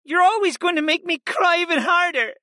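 Male narrator doing a tender young woman mage, high-pitched and crying softly; audiobook character voice, dry close sound. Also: a little fast, no echo. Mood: tearful. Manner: soft, tearful and pleading. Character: tearful young woman mage, affectionate and emotional.

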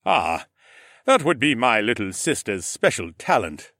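A man's voice: medium pitch, sing-song, a little slow, fairly bright tone, no echo, studio quality, exaggerated voice. A man voicing a confident, wry lord and teasing big brother in a fantasy audiobook. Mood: amused.

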